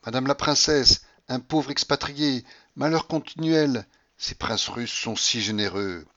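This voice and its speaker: A man voicing a shabby expatriate beggar in an audiobook, pleading slowly with fawning flattery. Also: medium pitch, dull audio, pitched above the performer's own voice, close to an everyday voice. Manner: slow, fawning, plaintive.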